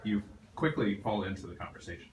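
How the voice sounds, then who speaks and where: A man's voice: lowish voice, lively intonation, fast talker, background noise. A man chatting casually in a group discussion, commenting as a member of the audience. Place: bookstore event room. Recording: echoey room, distant, crowd sounds behind.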